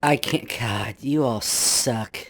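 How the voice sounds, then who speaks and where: A man talking through a pitched-up voice changer that makes him sound like a small child, complaining irritably and swearing as he starts telling his story. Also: takes it slowly, fairly bright tone, dry recording. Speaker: guest storyteller. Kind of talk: casual chat.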